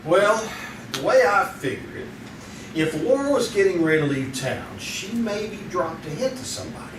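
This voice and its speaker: A brash, slangy crime photographer played by a man in an old-time radio play, worried and driven, pitched a little high. The recording is roomy and distant, with clear background noise. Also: very animated, moderate pace. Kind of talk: old-time radio drama acting, performed live on stage. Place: theater stage, live performance.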